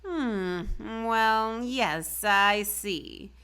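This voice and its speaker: A woman's voice: mid-range voice, drawn-out words, sharp tone, clean tone. A woman voicing a baffled, fussy male family doctor in a children's storybook, with a formal manner. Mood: musing. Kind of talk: storybook read-aloud.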